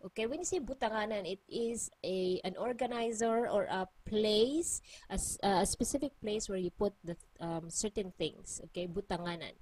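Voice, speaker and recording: Slow-paced Cebuano-accented woman teacher. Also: mid-range voice, husky, dry recording, rough recording. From Philippines. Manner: patient, repeats each word slowly. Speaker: language teacher. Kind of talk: online language lesson.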